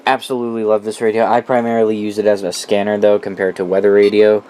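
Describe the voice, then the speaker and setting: Man, lower-pitched, informal hobbyist walkthrough, blunt opinions and swearing, faint noise behind. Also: little pitch movement, quick pace, dark tone. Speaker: radio collector presenting a collection. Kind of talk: casual unscripted product walkthrough.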